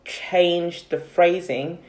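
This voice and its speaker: A woman's voice: lowish voice, steady tone, drawn-out words, darkish tone, echoey room. A woman explaining things reflectively in a casual group chat. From London, England.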